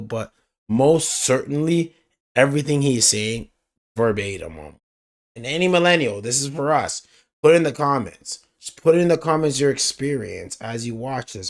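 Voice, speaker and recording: Young man, raspy voice, casual reaction host, slangy, rambling. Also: medium pitch. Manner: laid-back, slangy, rambling.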